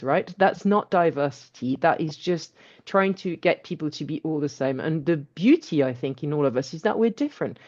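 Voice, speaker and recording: A woman's voice: on the low side, darkish tone. A woman speaking earnestly and encouragingly to a webinar audience, with some fillers. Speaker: webinar speaker for a student charity. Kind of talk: reflective talk. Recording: dull lo-fi video call.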